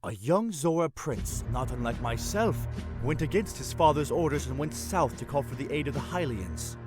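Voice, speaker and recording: A man voicing a tall, excitable young fish-folk prince who tells tales in a grand, theatrical way, in a fan audio drama. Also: mid-range voice, moderate pace, lightly acted. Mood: dramatic storytelling. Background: music.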